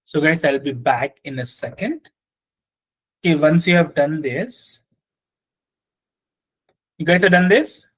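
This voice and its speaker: A man teaching an online software class over a video call, often checking in with his students, with a slight cough. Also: a little high, animated, slow, long pauses, husky, poor audio. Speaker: software trainer.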